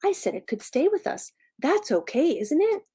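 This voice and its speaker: A woman's voice: sits high, big pitch swings, normal speed, mellow, pitched above the performer's own voice. A woman voicing a caring seven-year-old boy in a storybook, earnest, pleading and emphatic.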